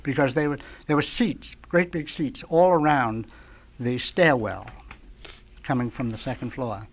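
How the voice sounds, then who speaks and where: A man's voice: medium pitch, unhurried. Emphatic mature man reminiscing on radio. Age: middle-aged or older. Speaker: veteran radio sound effects man, guest. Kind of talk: nostalgic reminiscing in conversation.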